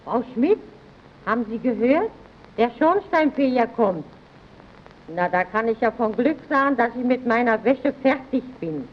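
An actress playing a proud, chatty, assured housewife who gives advice in a scripted vintage radio advert. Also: female voice, fairly high pitch, animated, unhurried, a little hiss or hum. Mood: self-assured. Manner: assured, didactic. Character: proud, chatty housewife and mother praising detergent. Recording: dull early radio recording.

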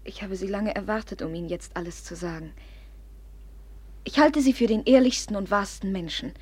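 An actress playing a proud, impulsive, jealous young woman of good family in a classic German radio play, her manner volatile and demanding, her voice breathy. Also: medium pitch, normal speed, darkish tone, dry recording, natural voice. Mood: earnest, confiding. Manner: imperious, volatile, swinging from confiding to accusing.

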